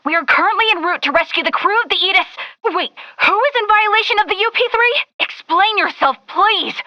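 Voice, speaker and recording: A woman's voice: very high-pitched, animated, fairly quick, bright, forceful tone, dry recording, exaggerated voice. A woman voicing an earnest, principled young female first officer of a starship in a video game, with formal, precise diction. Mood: composed, formal, then alarmed, stern.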